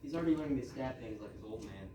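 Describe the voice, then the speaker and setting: Male actor as chatty, sorry-sounding henchman guard, deadpan yet swooping delivery; distant, noisy stage recording. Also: mid-range voice, rapid-fire, lightly acted. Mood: apologetic, rambling. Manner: rambling, deadpan, apologetic. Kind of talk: comic stage readers theatre. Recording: echoey room, far mic, background noise.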